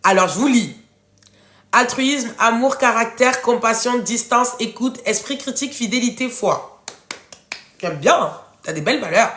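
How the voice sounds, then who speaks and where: A woman's voice: fairly high pitch, lively intonation, a little slow, fairly bright tone. A woman with a head cold hosting a casual live coaching workshop in French, speaking informally and affectionately to her listeners as she encourages them. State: congested, runny nose from a cold. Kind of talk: casual interactive coaching livestream, reading out participants' answers. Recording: roomy, echoing room sound.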